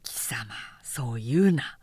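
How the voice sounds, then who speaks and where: A woman voicing a stern, loyal male general who speaks in a measured, admonishing way, in an audiobook novel. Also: very deep voice, lively intonation, darkish tone, husky, no echo, pitched below the performer's own voice, exaggerated voice. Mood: solemn. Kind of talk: literary audiobook dialogue.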